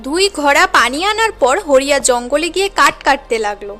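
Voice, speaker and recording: A woman's voice: high voice, expressive, fairly quick, a bit bright, faint music behind. A woman narrating a scripted cartoon story as a dramatic storyteller.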